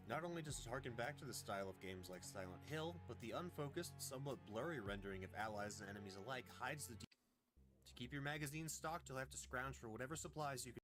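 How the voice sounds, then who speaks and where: A man delivers a prepared game review in an even, deliberate voice of middling pitch. Clear music runs underneath the narration the whole time.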